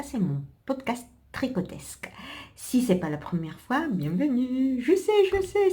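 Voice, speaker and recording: A woman's voice: medium pitch, dark tone. An Italian woman, a native Italian speaker, hosting her own podcast in casual, hesitant chat full of fillers, restarts and small laughs. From Italy. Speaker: podcast host.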